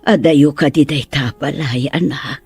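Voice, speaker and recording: A woman's voice: deep voice, unhurried, a little mellow, husky, soft background music, full character voice. A woman with an Ilocano accent playing a concerned older mother in a radio drama.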